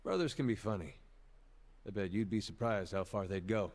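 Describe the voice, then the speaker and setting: A plain-spoken male adventurer in a fantasy video game. The voice is fairly low, and he talks with wry, unfussy directness as a traveling companion. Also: expressive, normal speed, a little mellow. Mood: wry fondness.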